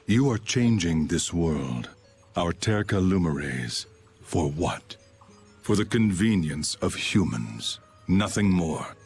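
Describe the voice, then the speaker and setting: Aloof male villain for a game, deep, solemn and formal, faint music. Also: animated, takes it slowly, a little mellow, heavily acted. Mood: accusing. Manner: grave, formal. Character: cold, aloof, solemn male antagonist scornful of humans. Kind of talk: English video game voice acting.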